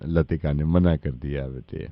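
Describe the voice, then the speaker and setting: A man playing a solemn middle-aged father on a prank call, slow, apologetic and paternal, with a deadpan delivery. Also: very deep voice, no echo, narrow, tinny audio, pitched below the performer's own voice.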